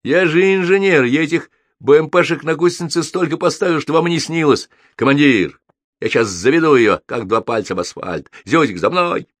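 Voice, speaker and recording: A man voicing a hot-headed, boastful army technician captain, blustering and shouting in an audiobook. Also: on the high side, expressive, normal speed, no echo, clean studio sound, voice pushed up. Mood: boastful, urgent.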